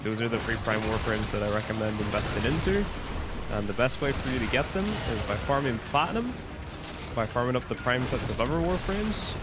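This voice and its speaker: A man from Northern Ireland with a Northern Irish accent, chatting casually while streaming a game and answering chat. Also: mid-range voice.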